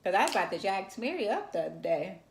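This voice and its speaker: A woman's voice: medium pitch, big pitch swings, fast, creaky. A middle-aged woman co-hosting a casual chat with her daughter, teasing and laughing often. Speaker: co-host, mother of co-host. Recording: echoey room.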